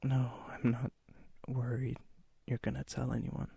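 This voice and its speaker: A man playing a lonely, remorseful vampire in a whispered ASMR roleplay, with a breathy, gravelly voice and a halting, intimate manner. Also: medium pitch, completely flat delivery, warm tone, no echo, full character voice. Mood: resigned, melancholy. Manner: slow, halting, subdued, intimate. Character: lonely, brooding, remorseful vampire, softening toward a stranger.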